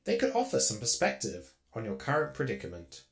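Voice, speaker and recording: Man voicing a formal servant droid with swooping, creaky voice. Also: mid-range voice, animated. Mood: informative, precise. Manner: formal, deferential, measured. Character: polite, dutiful male servant droid, formal and precise. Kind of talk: Minecraft roleplay web series voice acting.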